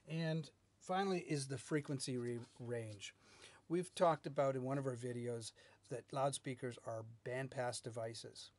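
Middle aged guy teaching tech stuff to camera, normal pitched voice, kinda halting measured phrasing. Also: a little mellow. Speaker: loudspeaker R&D manager, instructor. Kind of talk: explanatory technical lesson to camera. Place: small indoor video studio with whiteboard.

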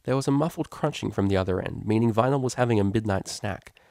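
A man with a fairly low voice narrates a written story. The recording is dry, with little room sound. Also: quick pace, darkish tone, no room sound. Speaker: audiobook narrator.

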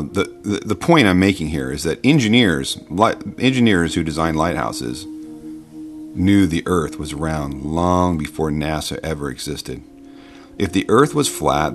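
A man giving an informal explanatory voice-over, conversational and halting, patiently reasoning through his points. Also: rumbling low. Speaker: video narrator explaining science.

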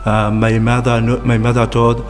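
A man from the Comoros, a Comorian native and student, speaking haltingly with fillers and restarts. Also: mid-range voice, completely flat delivery, in a large hall, faint music behind. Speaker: university student. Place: gallery hall indoors.

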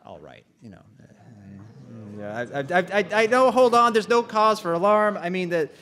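A man's voice: high-pitched, big pitch swings, moderate pace, a bit bright. An actor playing a nervous, flustered male radio host in a live comic radio drama, stammering and hesitant.

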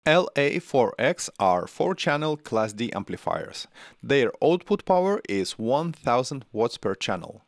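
Animated man narrating, dry close sound. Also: medium pitch, measured pace, gravelly, no room sound. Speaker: tech channel host. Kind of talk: scripted narration, product review. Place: small home studio room, indoors. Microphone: close broadcast microphone on arm.

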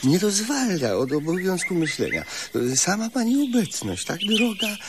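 A man's voice: sits high, wildly swooping pitch, moderate pace. Whiny timid guy in a 70s radio comedy, stammery and pleading, voice all over the place, noisy old tape. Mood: timid, lovesick. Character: whiny, timid, lovesick comic man, Mr. Sułek. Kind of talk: 1970s comic radio play acting.

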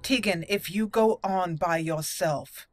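Low-voiced woman gamer over game music. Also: slow pace, dry recording. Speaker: gaming channel host. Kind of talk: roleplay game commentary, reading dialogue in character.

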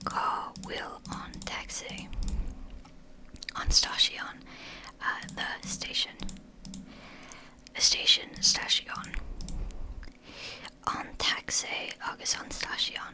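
A woman, whispering casually through language-app practice, halting and swearing now and then. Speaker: language learner.

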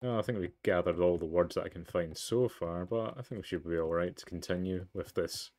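Low-voiced man playing a story game. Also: fairly quick, darkish tone. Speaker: let's player. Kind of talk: game commentary and reading narration aloud. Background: game music.

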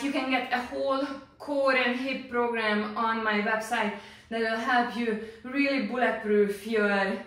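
A woman's voice: fairly high pitch, fairly bright tone. A middle-aged woman working as a Pilates instructor, giving encouraging step-by-step exercise cues. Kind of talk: exercise instruction, demonstrating moves. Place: small indoor home-style exercise studio. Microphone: distant camera microphone. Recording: echoey room, distant microphone.

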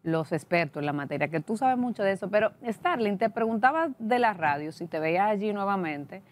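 A woman, hosting a TV interview show with measured, formal questions in a studio. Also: mid-range voice, animated. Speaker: TV interview host. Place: TV studio set.

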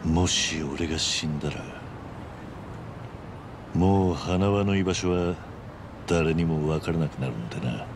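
An actor playing a stoic middle-aged fighter in a video game, speaking in a terse, gruff, restrained way. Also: male voice, sits low, unhurried, pauses often, noisy background. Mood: grave, resolute. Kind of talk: video game drama acting.

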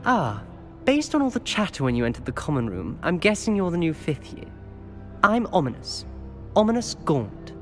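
A British actor playing a courteous, wry, refined teenage wizard student in a video game. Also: male voice, a little high, very animated, normal speed, fairly bright tone, soft background music. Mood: welcoming, wry. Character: courteous, gently wry upper-class teenage boy. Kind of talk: video game cutscene acting.

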